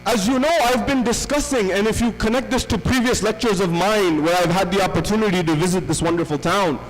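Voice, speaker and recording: A man's voice: high-pitched, brisk, long reverb tail, rough recording. A man giving a religious lecture as a visiting speaker, asking rhetorical questions and repeating phrases for emphasis.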